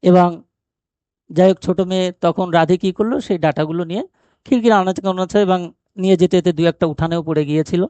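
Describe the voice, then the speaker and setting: A man's voice: high-pitched, fairly even intonation, brisk, mellow, no room sound. A man explaining a story as a teacher, posing questions and answering them himself. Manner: didactic, asks and answers own rhetorical questions. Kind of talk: explanatory lesson retelling a short story.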